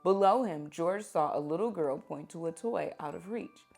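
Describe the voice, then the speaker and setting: A woman reading picture books aloud to children in an expressive, deliberate teacher's manner, prompting them with questions. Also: on the low side, gravelly, soft background music.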